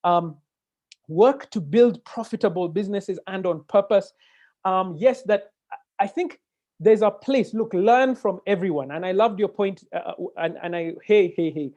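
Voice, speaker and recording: A man with a Kenyan accent moderating a webinar conversationally, animated and given to digressions and interjections. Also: sits high, unhurried. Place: indoor room, video call. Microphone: earphone mic on video call. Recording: dull, lo-fi video-call audio.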